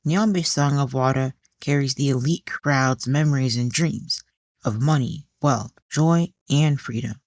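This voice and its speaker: A woman narrating a scripted audio drama with deliberate, measured storytelling. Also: very deep voice, a little mellow, dry recording, studio quality. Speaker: author and narrator.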